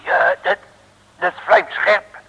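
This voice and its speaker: An actor playing a blustering, wisecracking detective with colloquial, stammering delivery in an old radio serial. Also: male voice, sits high, flat delivery, slow, vocal fry, sharp tone, breathy edge, highs cut off, exaggerated voice. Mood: alarmed. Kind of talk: 1960s radio serial acting. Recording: reverberant old radio recording.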